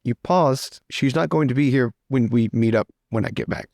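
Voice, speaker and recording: A man's voice: medium pitch, normal speed, vocal fry, a little mellow, dry recording, clean studio sound, lightly acted. A man voicing a fussy, vain young aristocrat in tabletop roleplay, stammering, flustered and theatrically exasperated. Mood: anxious, polite.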